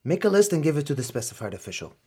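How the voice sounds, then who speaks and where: A man acting in a radio play as a stern but protective older colonel and uncle figure, grave and deliberate. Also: on the high side, big pitch swings, mellow, slightly rough. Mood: resigned, businesslike.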